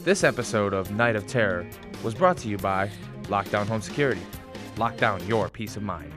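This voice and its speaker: A man's voice: lowish voice, lively intonation, moderate pace. Man narrating a radio drama over music.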